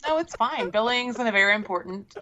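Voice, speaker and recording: A woman's voice: on the high side, lively intonation, brisk, pushed and bright. A woman co-hosting a casual podcast, bantering with sarcastic, profane humor. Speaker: podcast co-host. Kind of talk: casual chat. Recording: dull lo-fi sound.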